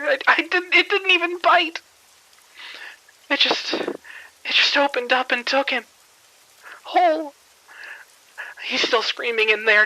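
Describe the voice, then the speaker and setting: An actress plays a terrified female hospital worker in a horror audio drama. Her voice is fairly high, sharp and tense, recorded dry. She speaks in halting, panicked bursts and breaks off mid-sentence. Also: dry recording, rough recording. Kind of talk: audio drama phone-call acting.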